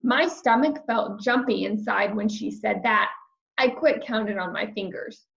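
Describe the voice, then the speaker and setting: A woman reading a children's chapter book aloud in an expressive storytelling style. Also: mid-range voice, a little slow, vocal fry, muffled recording. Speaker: storybook reader.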